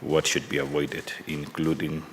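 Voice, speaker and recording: A man questioning presenters at a parliamentary hearing, halting and probing, often restarting his sentences. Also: rumbling low, flat delivery, fairly bright tone. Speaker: committee member questioning presenters. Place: large hearing room. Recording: long hall reverb, faint room noise.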